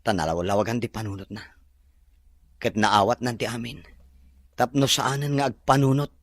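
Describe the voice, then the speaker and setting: A man's voice: mid-range voice, steady tone, moderate pace, lightly acted. A man with an Ilocano accent playing an earnest bridegroom reassuring his bride in a radio drama. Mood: tender, reassuring. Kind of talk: Filipino radio drama acting.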